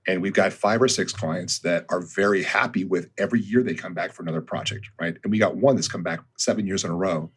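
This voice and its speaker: A man's voice: low voice, fairly even intonation, fast, few pauses, fairly bright tone, slightly rough. A man in his fifties, a business founder talking through his thinking as a podcast guest, with frequent ums and restarts. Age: 50s. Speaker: guest, consulting firm founder. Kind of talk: conversational podcast interview.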